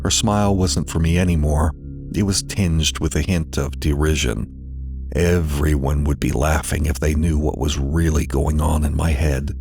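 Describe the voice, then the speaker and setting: A man narrating a scripted horror story in a deliberate, dramatic delivery with weighted pauses. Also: a bass voice, steady tone, creaky, a little mellow, faint music behind.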